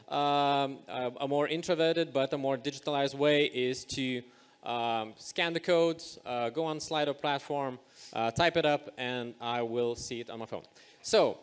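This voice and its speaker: Man, panel moderator, upbeat, lots of fillers, fairly high voice. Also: smooth. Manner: energetic, many uh fillers. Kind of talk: hosting a live panel discussion. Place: event stage.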